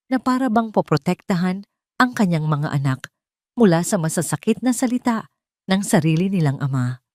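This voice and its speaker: A woman tells a dramatic audio love story as its narrator. Her voice sits a little low but moves through lively swings of pitch, with a dry, close sound. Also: no room sound.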